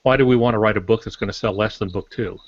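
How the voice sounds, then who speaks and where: Older guy, lowish voice, novelist telling stories matter-of-factly on a podcast, kinda muffled lo-fi audio. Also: quick pace, no room sound. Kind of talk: casual podcast discussion about writing.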